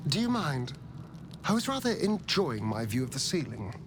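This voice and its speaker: A man's voice: medium pitch, sing-song, moderate pace, noisy background, close to an everyday voice. A man as theatrical, flirtatious rogue, swooping intonation. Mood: wry, musing. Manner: arch, theatrical, languid wit. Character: flirtatious, sardonic male rogue, vain and theatrical. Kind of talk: fantasy video game acting.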